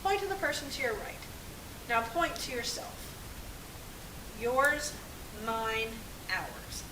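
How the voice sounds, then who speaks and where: A woman presents to children in an emphatic, phrase-by-phrase manner. Her voice is fairly high, recorded at a distance in an echoey space with noticeable hiss. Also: expressive, slow pace. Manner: emphatic, addressing an audience in short phrases. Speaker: puppet show presenter. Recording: echoey, distant mic, clearly hissy.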